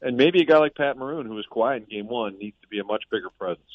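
A man's voice: medium pitch, expressive, fast talker, dry recording. A man, a sports reporter calling in from a boat, talking through a game preview in casual conversation. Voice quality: conversational, analytical. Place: on a boat in a harbor. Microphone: phone line. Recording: tinny phone line.

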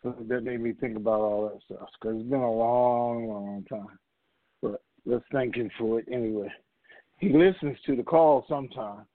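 A man's voice: medium pitch, normal speed, dry recording. Man on a muffled phone line. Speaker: conference call host. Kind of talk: casual, rambling talk to a group call. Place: telephone conference call. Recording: muffled, narrow-band phone conference line.

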